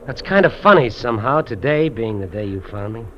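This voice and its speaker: An actor playing a lonely, lovestruck fugitive trapper, earnest and confiding, in 1950s radio drama style. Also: male voice, mid-range voice, very animated, a little fast, natural voice. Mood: wistful, tender. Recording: dull, noisy old radio recording.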